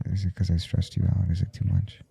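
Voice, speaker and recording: A smitten male professor in an intimate ASMR roleplay. His voice is low and very dark, with a gravelly creak. He talks haltingly and coyly, stammering with nerves. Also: completely flat delivery, exaggerated voice. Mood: anxious, hesitant. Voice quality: husky, dark low murmur. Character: nervous, smitten male professor confessing forbidden attraction. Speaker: audio roleplay performer.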